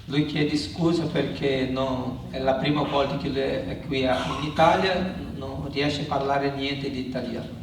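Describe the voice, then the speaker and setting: A man's voice: mid-range voice, steady tone, unhurried, gravelly. A man, a priest interpreting live for a congregation, hesitant and searching for words, in a church. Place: large reverberant church. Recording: echoey church, distant mic, faint noise.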